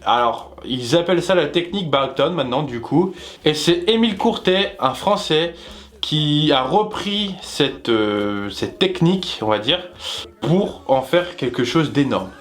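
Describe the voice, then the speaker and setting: A man's voice: on the high side, lively intonation, measured pace. A man co-hosting a web show, explaining things in an informal, jokey way and often interrupting himself. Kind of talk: casual explainer chat with co-host.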